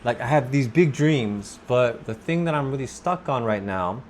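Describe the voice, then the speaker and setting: A man, from Korea, talking slowly and thoughtfully about his work as an English teacher in a personal vlog. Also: mid-range voice, expressive, a little hiss or hum. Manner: slow, thoughtful, with pauses and fillers.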